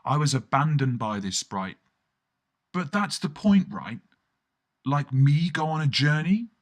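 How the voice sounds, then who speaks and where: A man narrates a surreal scripted radio monologue in the first person. His voice sits a little high and swings widely in pitch. The delivery is theatrical, breaking into colloquial asides and exclamations. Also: big pitch swings, unhurried.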